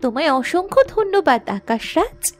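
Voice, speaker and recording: A woman's voice: sits high, sing-song, moderate pace, soft background music. A woman voicing a brave, earnest young princess in a fairy-tale cartoon, speaking expressively. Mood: grateful, eager.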